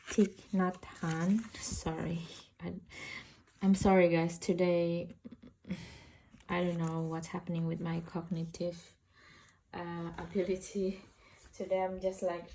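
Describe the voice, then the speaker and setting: A woman's voice: on the low side, vocal fry, darkish tone, a little hiss or hum. A woman with an Indonesian accent giving a casual, hesitant tarot deck review, searching for words as she talks. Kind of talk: casual unboxing and flip-through commentary.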